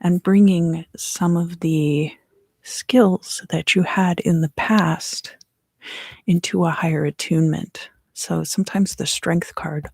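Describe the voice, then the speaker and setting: A woman giving an ASMR tarot reading in a hushed, soothing, husky voice that often slips into a whisper, close to the microphone. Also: low-pitched, no room sound. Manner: slow, soothing, hushed guided delivery. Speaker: tarot card reader and host.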